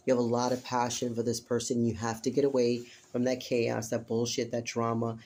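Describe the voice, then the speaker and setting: A man reads tarot cards aloud to an unseen listener, earnest and repetitive, often tagging his points with "okay?". His pitch stays narrow and even, and his voice has a hoarse edge. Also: a little fast, slight background noise. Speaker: tarot reader. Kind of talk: casual spoken tarot reading.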